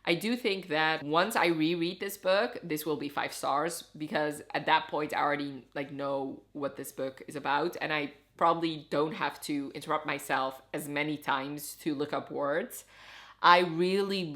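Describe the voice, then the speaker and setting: A woman with a low voice who grew up speaking Dutch. She talks in a casual, conversational reviewing style, with expressive emphasis on her opinions. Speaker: book reviewer. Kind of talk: casual chatty book review to camera.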